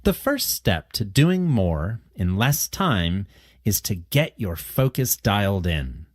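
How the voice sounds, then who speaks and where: Slow, low-voiced man reading aloud. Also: very animated, no room sound, clean studio sound. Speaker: narrator of test practice passages. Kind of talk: scripted read-aloud narration.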